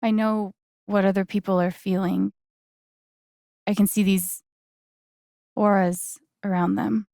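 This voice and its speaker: A woman's voice: medium pitch, flat delivery, slow, creaky, pauses often, dark tone, no room sound, studio quality. An actress playing a guarded, wry young woman in a video game, speaking in a casual, hesitant, understated way. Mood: vulnerable, earnest. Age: young adult. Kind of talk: naturalistic video game voice acting.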